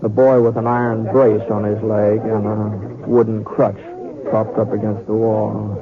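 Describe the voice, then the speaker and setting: Folksy western storyteller on tinny radio. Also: male voice, lowish voice, little pitch movement. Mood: folksy, wry. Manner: folksy, conversational, halting. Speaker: narrator. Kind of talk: old-time radio storytelling. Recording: tinny radio sound with crowd noise.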